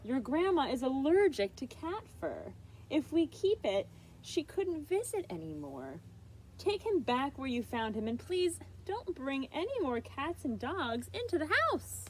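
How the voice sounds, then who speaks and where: A woman's voice: high-pitched, big pitch swings, a little slow, a little mellow, slight background noise, pitched above the performer's own voice, rough recording. Woman playing a firm, fussy storybook mother, emphatic. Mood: firm, scolding. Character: firm, fussy mother refusing her son's pets. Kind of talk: picture book read-aloud.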